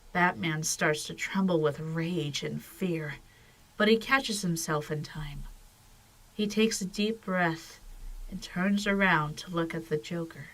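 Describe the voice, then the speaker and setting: A woman giving dramatic scripted narration for an audio drama, in a measured and solemn manner. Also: fairly low pitch, fairly bright tone, poor audio.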